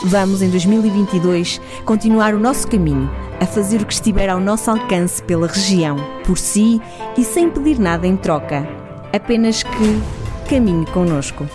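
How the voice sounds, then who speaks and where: A woman announcer reads a scripted promotional spot. Music plays clearly behind her. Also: medium pitch, normal speed.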